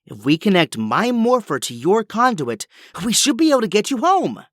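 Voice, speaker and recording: Animated, geeky young male hero played by a man. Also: high-pitched, normal speed, no echo, studio quality. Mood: focused. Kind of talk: audio drama acting.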